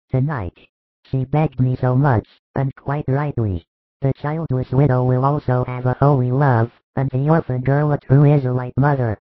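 A man voicing a gentle, grave religious master, earnestly exhorting his disciples in a storybook reading. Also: medium pitch, no room sound, lightly acted. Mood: tender. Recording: thin phone-like band, dry.

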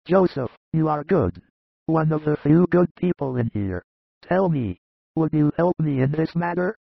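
A man doing a gravelly, fatherly disciple. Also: fairly high pitch, animated, measured pace, no echo, muffled recording, voice pushed up, heavily acted. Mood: pleading. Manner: earnest, plain-spoken, fatherly. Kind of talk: audiobook reading of a religious narrative.

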